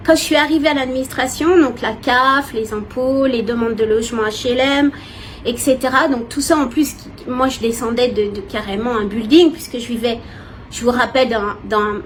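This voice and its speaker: Woman, high voice, casual personal testimony, expressive, hesitant, background noise. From France. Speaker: vlogger. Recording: clear background noise, ambient sound.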